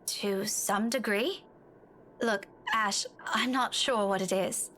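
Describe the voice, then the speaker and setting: A woman's voice: medium pitch, slow, sharp tone, a little hiss or hum. A woman playing a worried, earnest young woman in visual novel dialogue, speaking in a hesitant, pleading way. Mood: hesitant, uneasy.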